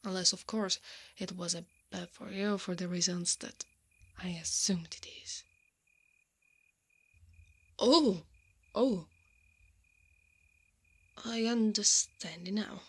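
A woman acts out a strong female knight, protective and teasing, in an intimate ASMR roleplay. Her voice is mid-pitched and husky, often slipping into whispers. She speaks slowly, dominant yet tender.

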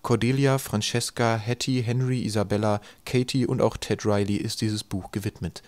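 A man with a low voice narrates a literary story. His delivery is dry and deliberate, with a comic touch, and the sound is close and dry. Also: steady tone, darkish tone, dry recording. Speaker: audiobook narrator.

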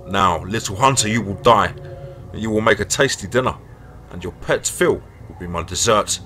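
A man voicing a huge, arrogant male bear god who speaks in slow, threatening pronouncements. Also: on the low side, sharp tone, a little hiss or hum. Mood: menacing. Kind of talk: storytelling, voicing a character.

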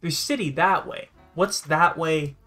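A young man with a slightly high voice hosts a casual gaming video. He comments in an encouraging, enthusiastic way, with light music playing behind him. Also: unhurried, a bit bright. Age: young adult. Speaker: host of a gaming show. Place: indoor room, sharing a webcam frame with a friend.

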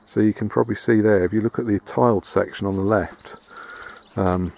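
A man giving a casual, relaxed walk-around tour, filming on a handheld camera outdoors and inside a barn, often pausing with fillers and restarts. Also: lowish voice, gravelly, slight background noise. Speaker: vlogger restoring a farmhouse.

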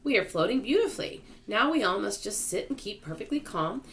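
A woman's voice: mid-range voice, sing-song, fairly quick, slight background noise, pitched above the performer's own voice. A woman voicing a courteous old male grasshopper in a children's storybook, speaking in formal, reassuring speeches.

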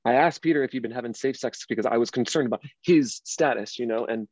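A man's voice: medium pitch, fast talker, husky, close to an everyday voice. A man acting a distraught, wounded and indignant character in his late thirties in a dramatic script reading. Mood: troubled, recounting. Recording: echoey room, slightly dull sound.

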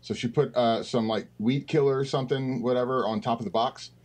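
A man's voice: mid-range voice, fairly even intonation, a little fast, darkish tone. A man of thirty-five, a podcast guest, chatting casually and rambling with fillers over a video call. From Tennessee, US. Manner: laid-back, rambling with fillers. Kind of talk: casual chat. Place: dark home room with blue lights.

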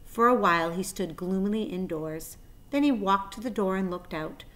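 A woman's voice: medium pitch, moderate pace, a bit bright. A woman in her forties reading a children's story aloud expressively, as a storyteller. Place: small plain room indoors.

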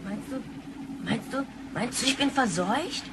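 An actress playing a brash, spoiled, jumpy young granddaughter from the future in a sci-fi radio play, slangy and excitable. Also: female voice, on the high side, measured pace, noisy background. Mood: incredulous, scornful. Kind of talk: sci-fi radio drama acting.

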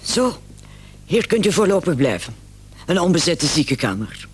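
A man playing a brisk, condescending emergency doctor on night duty in a vintage radio play. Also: fairly high pitch, big pitch swings, exaggerated voice. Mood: brisk, reassuring. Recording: old radio recording with clear hiss.

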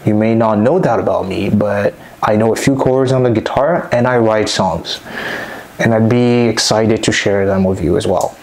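A man in his thirties from Congo, a native French speaker, giving an earnest, measured vlog monologue into a lapel mic in a small dim room at home. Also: fairly low pitch, a little mellow. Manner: measured, earnest, reflective delivery. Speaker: vlogger. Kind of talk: scripted personal vlog monologue. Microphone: clip-on lapel mic.

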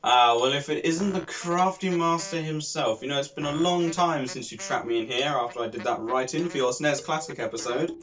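A man's voice: fairly high pitch, moderate pace, few pauses, a bit bright, soft background music, poor audio. A teenage male guest presenter delivering a scripted comedy sketch and game narration. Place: small bedroom, indoors.

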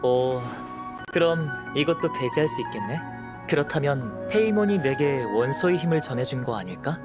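A man playing a calm, curious young alchemist. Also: medium pitch, lightly acted. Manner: soft-spoken, measured, analytical. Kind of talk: game voice acting. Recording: with clear game music behind.

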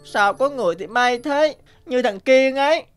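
A woman's voice: high-pitched, moderate pace, clear, resonant tone, soft background music, natural voice. A woman voicing a self-pitying nine-year-old boy in a cartoon, whining and complaining. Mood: sulky. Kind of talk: cartoon dubbing.

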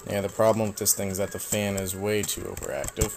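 A young man casually commenting while he plays a video game, rambling and self-mocking, his voice slightly raspy from a cold. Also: on the low side, level tone, fast talker, background noise. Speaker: let's play host.

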